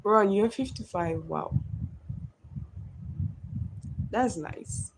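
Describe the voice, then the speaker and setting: A woman's voice: medium pitch, animated, takes it slowly, poor audio. A woman in her twenties chatting on a live stream, reading and answering viewer comments one by one. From Nigeria. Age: 20s.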